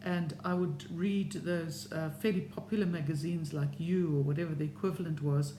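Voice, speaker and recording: Middle-aged, Serbian home language, measured encouraging vlog talk, roomy echo, audible hum. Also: mid-range voice. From South Africa. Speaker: language learning vlogger. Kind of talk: opinion talk to camera. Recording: echoey room with steady hum.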